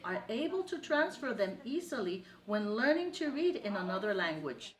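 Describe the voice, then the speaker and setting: A woman professor giving a measured, formal scripted narration. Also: mid-range voice, sing-song, echoey room. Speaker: education professor presenting.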